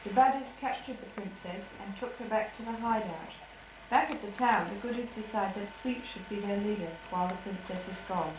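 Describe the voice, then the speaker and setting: A woman's voice: mid-range voice, fairly quick. A female narrator reading out an amateur puppet show story, recorded on an echoey, noisy old home video.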